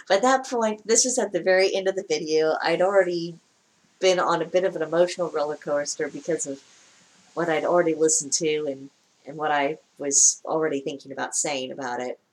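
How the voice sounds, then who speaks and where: A woman with a lower-pitched voice giving casual spoken commentary as a vlogger, wry and chatty, often laughing mid-sentence. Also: moderate pace, poor audio. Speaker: vlogger reacting to a broadcast.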